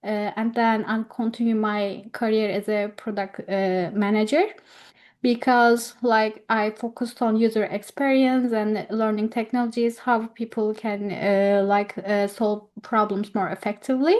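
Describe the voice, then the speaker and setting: A woman's voice: medium pitch, fairly even intonation, darkish tone. Young woman with a Turkish accent. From Turkey. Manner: hesitant, halting phrasing with many word searches. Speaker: guest speaker, education technology professional. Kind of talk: personal account in an online interview.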